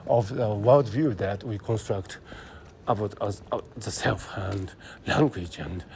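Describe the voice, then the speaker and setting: A man with a Japanese accent thinking aloud in a halting monologue while walking city streets, speaking into a phone, full of fillers and restarts. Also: on the low side, vocal fry, a little mellow, a little hiss or hum. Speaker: podcast host. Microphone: phone held close.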